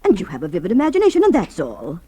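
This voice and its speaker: A woman's voice: lowish voice, huge pitch swings, rapid-fire, dark tone, slightly rough, exaggerated voice. An actress playing a solicitous woman who coaxes and reassures, in 1940s radio drama style. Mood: soothing, concerned. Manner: coaxing, reassuring. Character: soothing, solicitous woman caring for nervous Julia.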